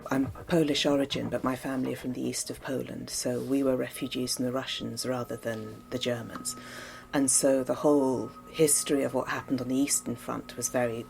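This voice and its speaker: An actress speaking in a thoughtful, earnest way in a behind-the-scenes interview. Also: female voice, a bass voice, creaky, darkish tone, poor audio.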